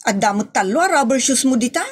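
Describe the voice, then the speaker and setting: A woman's voice: on the high side, lively intonation, quick pace, husky. A woman acting the part of a forgetful, busy housewife in a homemade comedy skit, exasperated and muttering to herself. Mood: flustered.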